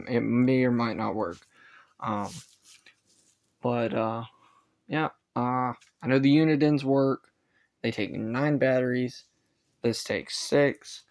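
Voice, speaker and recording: A man giving a casual, rambling hobbyist gadget review, halting and often correcting himself as he goes. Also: mid-range voice, vocal fry, a little mellow. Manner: halting, self-correcting, unscripted.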